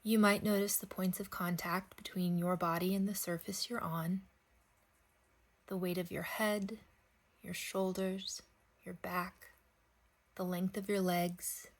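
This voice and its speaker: A woman leading a guided sleep meditation, speaking gently in unhurried phrases with long pauses. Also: lowish voice, level tone.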